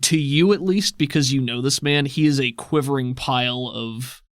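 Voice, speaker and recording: A man's voice: mid-range voice, normal speed, a bit bright, dry recording, clean studio sound. A man running a tabletop role-playing game as game master, describing scenes in detail and laughing easily.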